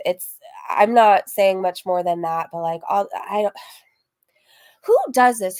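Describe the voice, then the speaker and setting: A woman hosting a casual reaction livestream, chatty and gossipy, repeating phrases for emphasis as she comments and reads out messages. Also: a little high. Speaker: online reaction channel host.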